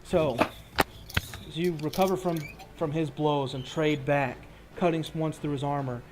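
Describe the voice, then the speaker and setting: Higher-voiced man narrating tabletop combat. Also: steady tone, moderate pace, noisy background. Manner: vivid, gory descriptive narration broken by rules talk. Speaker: game master.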